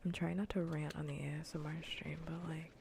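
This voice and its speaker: A woman speaks in a soft, breathy whisper right up on an ASMR mic, with a faint layer of noise behind her. Her voice is fairly low and gravelly at the edges. She rambles casually, repeating phrases as she chats through a gaming livestream. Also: little pitch movement, a little fast. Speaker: streamer. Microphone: close ASMR microphone.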